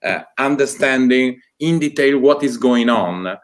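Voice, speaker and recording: A man's voice: mid-range voice, animated, unhurried. A man giving a welcome speech as a department director, with frequent hesitations. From Italy. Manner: hesitant, many fillers. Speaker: university department director, guest speaker.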